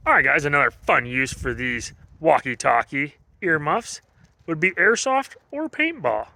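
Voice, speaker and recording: A man with a slightly high, very bright and forceful voice talks casually to viewers as he demonstrates gear in an outdoor vlog. There is light background noise behind his informal delivery. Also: very animated, a little slow, creaky. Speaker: product reviewer and host. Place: outdoors, partly riding in a vehicle.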